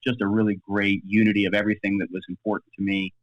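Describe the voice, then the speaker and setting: A middle-aged American man talks quickly in a lowish voice, answering interview questions with plenty of asides. The recording is dry and somewhat dull. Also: level tone, dry recording. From Maryland, United States. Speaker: podcast guest, attorney.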